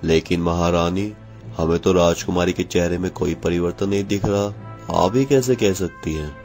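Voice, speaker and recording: A man's voice: deep voice, moderate pace, a little mellow, soft background music. A man voicing an authoritative, decisive king and father with formal, commanding speech in a cartoon tale. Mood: puzzled. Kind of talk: Hindi cartoon fairy-tale dialogue.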